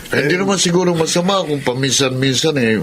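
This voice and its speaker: Actor as higher-voiced coaxing Filipino buddy. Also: male voice, fast talker, fairly bright tone, close to an everyday voice. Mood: persuasive. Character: coaxing drinking buddy of a married man. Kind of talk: Filipino radio drama acting.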